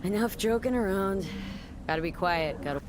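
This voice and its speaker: A woman's voice: mid-range voice, animated, moderate pace, background noise, close to an everyday voice. An actress playing a streetwise young female mercenary in a video game, wry, dry and skeptical. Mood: wry joking.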